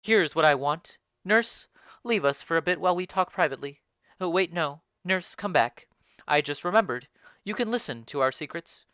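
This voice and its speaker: A woman voicing a stern, formal noble mother in a dramatic reading of a play, speaking in a lowered, measured voice. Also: expressive, fairly bright tone, dry recording, studio quality.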